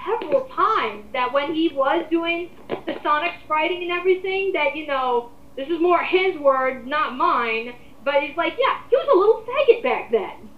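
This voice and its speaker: A woman's voice: high voice, expressive, normal speed, slight background noise. A woman on a casual group rant, talking in an exasperated, emphatic way with plenty of swearing. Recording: muffled, echoey amateur voice recording.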